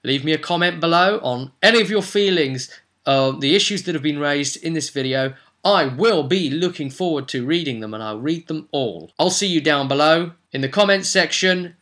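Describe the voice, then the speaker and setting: A man's voice: a little high, normal speed, fairly bright tone. A man giving an informal advice monologue as a game master and host, chatty and emphatic, often putting rhetorical questions to the listener.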